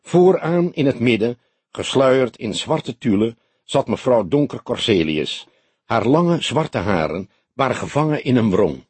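A man narrating a detective novel as an audiobook, in deliberate, expressive storytelling. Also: mid-range voice, husky, no echo.

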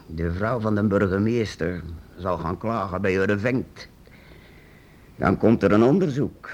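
An actor playing a secretive, eccentric master painter-inventor in a radio play, hesitant and halting, then urgent. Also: male voice, lowish voice, darkish tone, dull audio. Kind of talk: radio drama acting.

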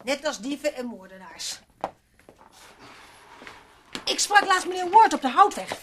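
A woman's voice: high-pitched, very animated, unhurried. An actress in a radio play playing a chatty, grumbling working-class cleaning lady who rambles and gossips. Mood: grumbling, indignant. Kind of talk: Dutch radio play acting. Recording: noisy old radio play recording.

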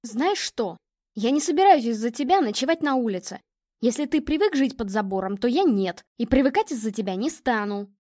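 Женщина играет упрямую девочку из аудиокниги, голос высокий. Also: female voice, fairly high pitch, lively intonation, brisk, mellow, no echo, studio quality. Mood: indignant. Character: young girl, stubborn, defensive, pleading with her mother.

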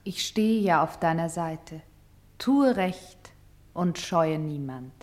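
An actress playing a pious, old-fashioned German mother in a radio play, speaking in a plain, measured way. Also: low voice, lively intonation, a little mellow. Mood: steadfast. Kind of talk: postwar radio play acting.

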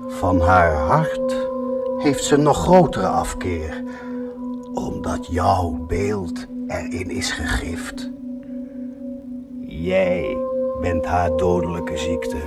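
An actor playing a bitter, mocking fallen priest tormented by a devil, in a theatrical, accusing radio drama style. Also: male voice, low voice, animated, loud music behind the voice, full character voice. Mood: cold accusation. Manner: slow, accusing, sardonic, solemn declaiming.

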